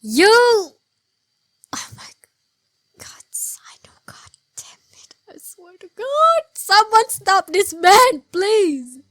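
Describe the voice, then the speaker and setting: A woman giving casual, expressive, rambling reaction commentary over a video game. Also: very high-pitched, drawn-out words, no room sound, studio quality. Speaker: gameplay streamer, commentator.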